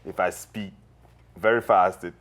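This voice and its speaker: A man from Jamaica with a light Jamaican accent, speaking slowly and deliberately as a guest. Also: low-pitched, steady tone, fairly bright tone. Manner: slow, deliberate. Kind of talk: casual chat.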